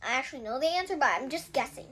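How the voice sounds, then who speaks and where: A woman's voice: high voice, expressive, very fast, a bit bright. A young girl hosting a casual read-aloud of a children's book, often breaking off to explain things to viewers in chatty asides.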